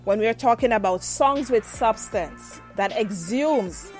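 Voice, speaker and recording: A woman interviewer with a fairly high voice, effusive and gushing with praise. She laughs easily as she talks. Faint music plays behind her. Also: lively intonation, slow. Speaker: radio show host. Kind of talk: lively online interview.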